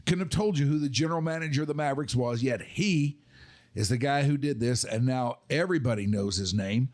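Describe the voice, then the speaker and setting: A man's voice: mid-range voice, brisk, darkish tone. An older man hosting a podcast, talking sports in a casual interview style. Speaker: podcast host.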